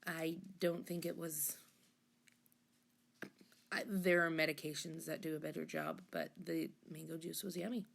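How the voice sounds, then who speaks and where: Low, gravelly-voiced woman with a hoarse throat. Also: animated, measured pace, pauses often. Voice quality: husky, rough. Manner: rambling, self-correcting, half-whispered asides. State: hoarse, froggy throat after illness. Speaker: journaling channel host. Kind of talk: casual voice-over chat.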